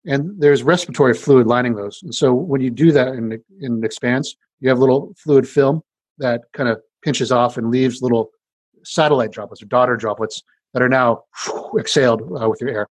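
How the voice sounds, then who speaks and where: A man with a deep voice, a scientist explaining his research in an interview in a casual way, with many fillers and restarts. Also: a little fast, no echo, rough recording.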